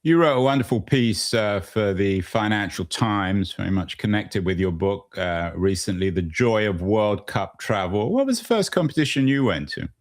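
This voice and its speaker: Low-voiced podcast host, meandering questions. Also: male voice, expressive, moderate pace, hardly any pauses. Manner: meandering, hesitant questions with fillers. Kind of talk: interview questions.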